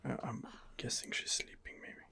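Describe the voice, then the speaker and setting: A man softly narrating a video game in ASMR style, musing hesitantly as he thinks aloud. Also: normal speed. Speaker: gamer narrating a role-playing game playthrough. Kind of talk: whispered ASMR game commentary.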